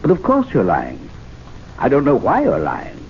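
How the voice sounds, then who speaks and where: An actor playing a well-spoken club president who is polite but insistent, in a 1970s radio drama. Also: male voice, mid-range voice, huge pitch swings, normal speed, creaky, a little hiss or hum. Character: poised male club president, firm and smooth. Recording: dull lo-fi old radio recording.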